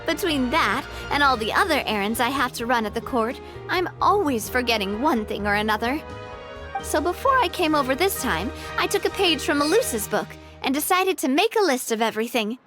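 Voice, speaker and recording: Young female leader, high voice, lively melodic range, earnest, breezy, friendly, video game character, music behind. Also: a little fast. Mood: breezy, self-mocking.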